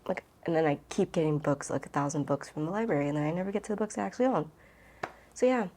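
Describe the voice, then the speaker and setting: A woman's voice: sits low, vocal fry, warm tone, slight background noise. A woman book vlogger chatting casually and rambling to camera, with an informal, gushing and emphatic way of talking.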